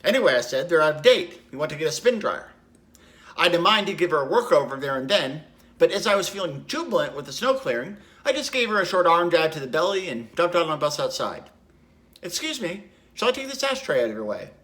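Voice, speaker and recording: Guy doing a stage monologue as a sly rambling working-class bloke, polite but menacing, slightly high voice. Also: very animated, brisk, fairly bright tone. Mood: sly, aggrieved. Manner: conversational, digressive, mock-polite. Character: menacing, chatty working-class man telling a rambling anecdote. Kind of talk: theatrical monologue.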